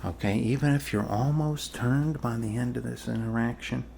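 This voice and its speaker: A man doing an improvised ASMR role-play as a doctor, talking in a halting, deliberate, deadpan way to a patient. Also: mid-range voice, vocal fry, a little hiss or hum. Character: blunt, casually shady male family doctor reassuring a patient. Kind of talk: ASMR medical role-play, improvised personal-attention talk.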